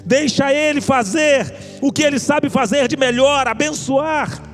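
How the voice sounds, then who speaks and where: Male preacher, Brazilian accent, very high-pitched voice, impassioned exhortation, repeated phrases, music playing underneath. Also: unhurried, fairly bright tone. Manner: impassioned, exhorting, rhetorical repetition. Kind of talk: evangelical sermon with altar appeal.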